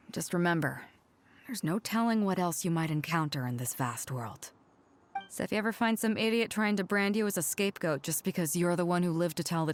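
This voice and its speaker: A woman's voice: fairly low pitch, slight background noise. An actress voicing a proud, tough adult pirate ship captain in a game cutscene, telling her past in a wistful, wry, confident way. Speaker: game character. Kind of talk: dubbed video game cutscene dialogue.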